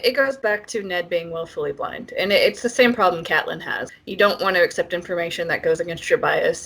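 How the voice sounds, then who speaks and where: A woman's voice: lowish voice, fairly bright tone. A woman co-hosting a casual book discussion, talking in an informal, wry way. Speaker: podcast co-host.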